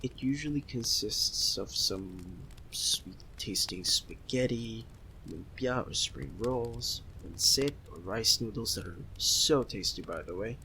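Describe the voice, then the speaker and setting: A man's voice: mid-range voice, takes it slowly, slight background noise, full character voice, low-quality recording. A man playing a giddy, homesick young friend in an ASMR roleplay, chatting casually with a giggly, hesitant manner. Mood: giddy, fond. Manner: giggly, hesitant, softly excitable. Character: giddy, homesick young male Filipino friend, sweet and apologetic. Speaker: audio roleplay performer.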